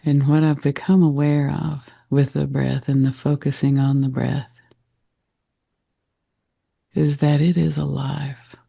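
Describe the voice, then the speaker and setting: A man leads a guided meditation in a very dark, mellow voice with a gravelly rasp. He speaks slowly and gently, setting out short reflective phrases with care. The tone is soft and husky, sometimes sinking near a whisper. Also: on the high side, level tone, no room sound, studio quality.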